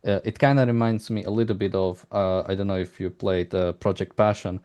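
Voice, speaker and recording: A man conducting a casual interview, talking in a halting, rambling way and repeating words as he searches for phrasing. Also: low-pitched, steady tone, darkish tone, clean tone.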